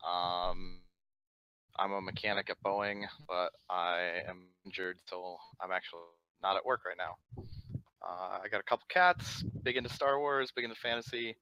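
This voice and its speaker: A man's voice: sits low, fairly even intonation, normal speed, sharp tone, smooth. A man from Washington State in the US, introducing himself plainly in short sentences. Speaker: player. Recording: dry, lo-fi call audio.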